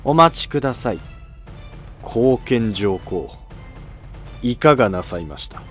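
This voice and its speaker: A man's voice: mid-range voice, sing-song, takes it slowly, soft background music. A man playing a commanding empress in a historical drama, speaking formally and with emphasis. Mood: firm. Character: firm, commanding empress, an adult woman.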